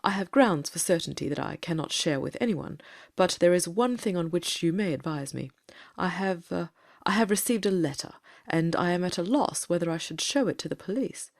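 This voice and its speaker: A troubled gentleman doctor of middle age, played by a woman in a Victorian dramatic reading. The voice is on the low side for a woman, earnest and formal, with an anguished fervour. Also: lively intonation, brisk. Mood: uneasy. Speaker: audiobook cast reader.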